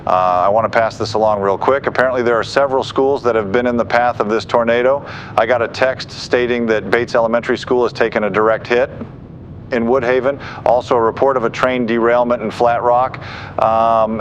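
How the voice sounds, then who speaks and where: A man giving urgent live tornado coverage as a weather forecaster, grave, insistent and speaking off the cuff. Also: lowish voice. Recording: dull muffled audio with faint noise.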